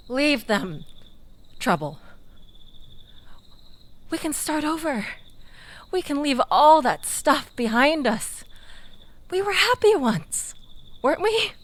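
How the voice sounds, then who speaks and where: A woman playing a manipulative, tipsy, pleading ex-lover in an intimate audio roleplay, halting and dramatic with sighs and breathy drops toward a whisper. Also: high-pitched, very animated, full character voice. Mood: pleading, coaxing.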